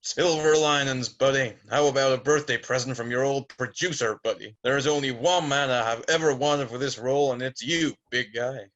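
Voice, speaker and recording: A man playing a loud, endearing film producer with an American accent and a chummy, breezy manner in a script table read. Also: mid-range voice, normal speed, few pauses, highs cut off, natural voice. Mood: persuasive. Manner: chummy, breezy, full of slang.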